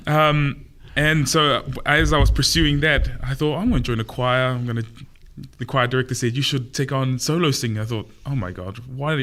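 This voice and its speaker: Laughing New man. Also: medium pitch. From Samoa, raised in New Zealand. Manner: laughs often, animated anecdotes. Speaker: opera tenor guest. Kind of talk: casual interview storytelling.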